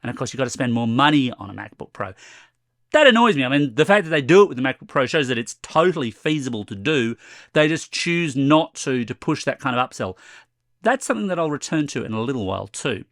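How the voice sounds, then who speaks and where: A slightly hoarse man in his forties giving a chatty, wry tech review to camera from a room at home. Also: mid-range voice, big pitch swings, fast talker, fairly bright tone, no echo, clean studio sound. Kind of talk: casual product review to camera.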